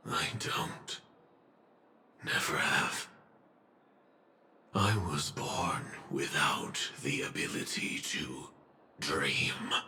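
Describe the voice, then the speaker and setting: A tormented bitter game character, deep breathy voice, crawling halting pace, music under it. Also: male voice, heavily acted. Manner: halting, breathy, drawn-out delivery. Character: weary, anguished man, bitter and burning with resolve. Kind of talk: dramatic game voice acting. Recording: echoey, music behind.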